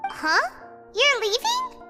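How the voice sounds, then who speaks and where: A woman's voice: very high voice, wildly swooping pitch, slow, over music, heavily acted. A woman voicing a small, perky fairy-like child companion in a video game, eager and exclamatory. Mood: surprised. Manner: refers to self in third person, exclamatory.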